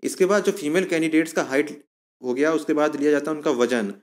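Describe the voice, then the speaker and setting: Higher-pitched man explaining. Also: a little fast. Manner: step-by-step, repetitive explaining with recap phrases. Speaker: exam coaching presenter. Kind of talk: informal explanatory lesson with visuals.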